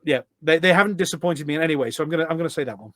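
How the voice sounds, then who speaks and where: Enthusiastic man chatting, slightly higher-pitched, dry sound. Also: rapid-fire, fairly bright tone, dry recording. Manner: enthusiastic, rambling explanations. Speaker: podcast guest, gaming community event organiser. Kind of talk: casual interview chat.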